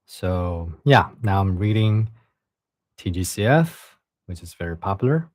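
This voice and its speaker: Give me a man chatting on a livestream and reading aloud, halting and repeating himself. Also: low-pitched, expressive, darkish tone, no echo, clean studio sound. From China. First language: Chinese. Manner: halting, repeats words and restarts. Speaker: livestream host and reader. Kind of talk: casual livestream chat and reading a novel aloud.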